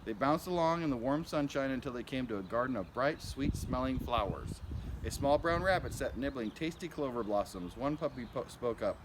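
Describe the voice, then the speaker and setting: Guy reading a kids picture book out loud, mid pitch, lots of background noise. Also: lively intonation, moderate pace. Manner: simple, child-directed narration with asides about the pictures. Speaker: school principal reading to children. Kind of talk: picture book read-aloud.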